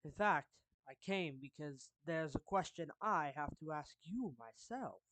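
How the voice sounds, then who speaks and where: A performer voicing a suave, courtly villain turned gently protective friend in an anime fan roleplay, speaking formally and deliberately with pauses mid-phrase. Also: medium pitch, expressive, noisy background, close to an everyday voice. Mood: reassuring, curious. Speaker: character voice actor. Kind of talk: anime fan roleplay monologue addressed to the listener.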